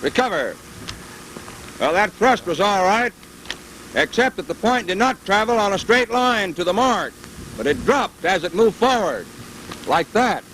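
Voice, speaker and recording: Animated high-pitched male drill instructor, old film. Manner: brisk, commanding. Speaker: military bayonet instructor. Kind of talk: drill instruction with shouted commands. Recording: noisy vintage 1930s-40s training film.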